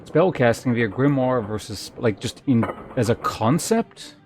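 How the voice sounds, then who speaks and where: A man chatting casually on a game livestream, thinking aloud in hesitant, trailing half-sentences with a gravelly fry to his voice. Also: mid-range voice, lively intonation, unhurried. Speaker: game streamer. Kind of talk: casual livestream gameplay chat. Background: faint game music.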